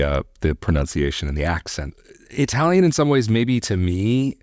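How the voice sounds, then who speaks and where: A man hosting a reaction video, speaking in a thoughtful, measured way with frequent hedges. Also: sits low, darkish tone, dry recording, clean studio sound. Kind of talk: casual commentary. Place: living in Portugal.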